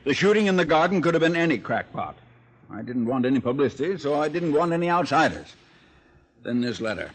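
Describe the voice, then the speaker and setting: A gruff, wealthy old American man, proud and secretive. He speaks bluntly and gives orders, in the manner of classic mid-century radio drama. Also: on the high side, sing-song, brisk, heavily acted. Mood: earnest, insistent. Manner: blunt, commanding. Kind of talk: 1940s-50s American radio drama acting.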